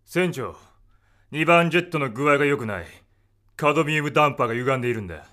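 A man's voice: on the high side, animated, fast talker, sharp tone. A man playing a stubborn, crafty old spaceship engineer in an audio drama, arguing theatrically. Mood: agitated, insistent. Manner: wheedling, argumentative, theatrical.

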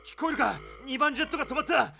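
A man's voice: very high voice, expressive, rapid-fire, gravelly, over music, heavily acted. A man playing a stubborn, crafty old spaceship engineer in an audio drama, arguing theatrically. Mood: urgent, strained. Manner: wheedling, argumentative, theatrical.

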